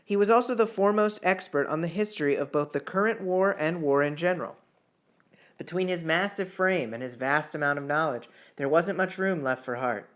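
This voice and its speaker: A man's voice: on the high side, a little fast, a bit bright. A man narrating fiction in an audiobook style, reading aloud with the odd small stumble. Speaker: author reading own novel chapter.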